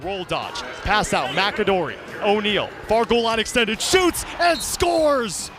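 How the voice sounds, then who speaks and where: A man giving excited play-by-play commentary with drawn-out calls. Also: sits high, music in the background. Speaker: lacrosse play-by-play announcer.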